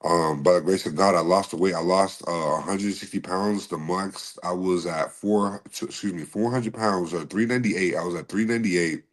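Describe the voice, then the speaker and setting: A man from Massachusetts speaking in a very deep, low voice as a podcast guest. He answers politely and calls the host "sir". His replies come in short, halting bursts with plenty of fillers. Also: fairly even intonation. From Massachusetts, USA. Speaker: podcast guest, slap fighter and bodyguard. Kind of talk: casual interview answers.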